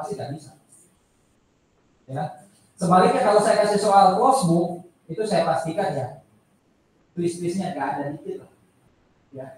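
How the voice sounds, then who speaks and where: A man's voice: a little high, darkish tone. A man with an Indonesian accent giving an informal lecture, repeating his points and posing questions to his listeners as he explains. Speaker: accounting lecturer. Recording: echoey room, distant microphone.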